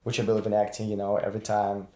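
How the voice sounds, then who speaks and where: Guy in his 20s, low voice, hesitant and thoughtful, echoey room. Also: completely flat delivery, very fast, darkish tone. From Russia. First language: Russian. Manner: reflective, hesitant, many ums. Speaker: actor guest. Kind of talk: casual group chat. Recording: roomy echo.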